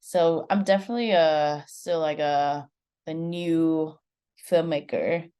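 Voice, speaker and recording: A woman in her twenties with a Vietnamese accent and a notably low voice for a woman. She talks casually and loosely, sprinkling in "like" often as she answers interview questions. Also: steady tone, slow pace, warm tone, clear, resonant tone. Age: 20s. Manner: chatty, leans heavily on "like". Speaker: film director guest.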